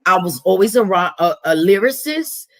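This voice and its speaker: Raspy, deep-voiced woman chatting. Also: moderate pace. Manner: animated, slangy, acts out quotes in a higher voice. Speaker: host. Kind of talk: casual live-stream chat.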